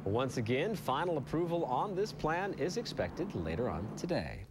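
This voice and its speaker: A man's voice: a little high, sing-song, moderate pace, over music. A man with an American accent anchoring a TV morning newscast, reading news and chatting informally.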